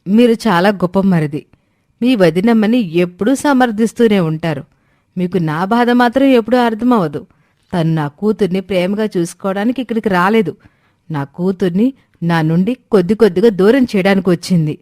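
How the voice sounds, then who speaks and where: A woman's voice: medium pitch, normal speed, a little mellow, no room sound, clean studio sound, lightly acted. A woman voicing an angry, suspicious young mother who is fiercely possessive and accusing, in a storybook drama. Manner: accusing, confrontational.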